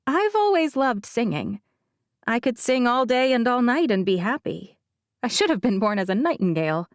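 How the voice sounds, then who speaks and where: A woman voicing a guarded, self-effacing young actress in an audiobook, telling her story in a measured, confiding way. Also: on the high side, sing-song, dry recording, studio quality. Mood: sheepish. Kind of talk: audiobook character dialogue.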